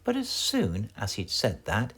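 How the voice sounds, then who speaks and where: A man with a British accent reading a children's storybook aloud in a theatrical, expressive way. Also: fairly low pitch, measured pace, breathy edge, soft background music. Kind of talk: animated children's storybook narration.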